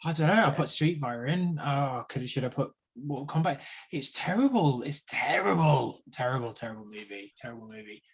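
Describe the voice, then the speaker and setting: A man's voice: medium pitch, expressive, fairly quick, vocal fry, hardly any pauses, slightly rough. A man on a podcast panel debating films casually and emphatically, often repeating himself for effect.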